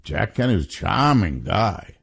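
A man's voice: on the low side, big pitch swings, gravelly, a bit bright, husky. Older man with a thick Boston accent. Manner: storytelling, quick in quoted lines. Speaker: interviewee.

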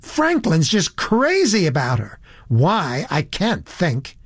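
A man voicing a blunt, earnest young woman with a high, shrill voice in an audiobook story. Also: wildly swooping pitch, slow, slightly rough, dry recording, studio quality, heavily acted. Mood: indignant, worried. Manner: blunt, indignant. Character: earnest, disapproving, very young American tourist woman.

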